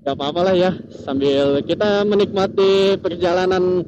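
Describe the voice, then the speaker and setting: A man's voice: high voice, moderate pace. A man, chattily telling a story to viewers in a casual motovlog, with repeated tag phrases. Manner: chatty, repetitive tag phrases, chuckles often. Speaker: motovlogger host. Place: outdoors, riding a motorcycle in traffic. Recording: muffled, loud vehicle and road noise.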